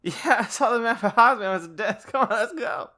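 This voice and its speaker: A woman voicing a lively, crude teenage goth girl in a comic story reading, exaggerated and slangy. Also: a little high, normal speed. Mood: animated.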